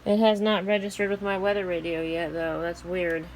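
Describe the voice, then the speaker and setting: A woman's voice: on the low side, completely flat delivery, moderate pace, slight background noise, rough recording. A woman talking casually as she narrates an amateur weather vlog.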